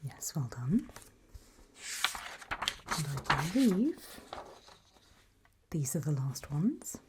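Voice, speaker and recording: A woman doing an ASMR roleplay as an examiner, whispering in a breathy, husky voice with a gentle, reassuring, encouraging manner. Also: low voice, big pitch swings, drawn-out words, a little hiss or hum.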